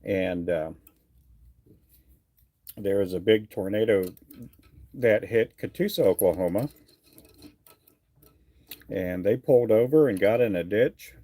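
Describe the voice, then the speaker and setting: A man's voice: fairly low pitch, slow pace, pauses often, warm tone, no room sound. A middle-aged man from Texas chatting casually on a fishing livestream, answering viewer comments in an easygoing, rambling way with many fillers. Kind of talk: casual live chat reading viewer comments.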